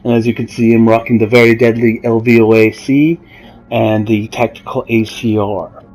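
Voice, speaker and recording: A man giving a casual, explanatory game walkthrough in a measured, somewhat halting way. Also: lowish voice, level tone, a little mellow, poor audio. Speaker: gamer presenting a character build guide. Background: music.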